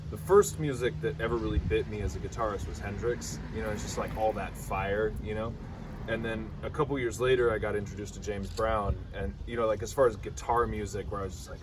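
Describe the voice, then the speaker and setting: A man's voice: on the low side, fairly even intonation, a little fast, recorded from a distance, background noise. A man from Detroit, a guitarist, talking loosely and keenly in an interview, full of "you know" and "like". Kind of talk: casual interview chat.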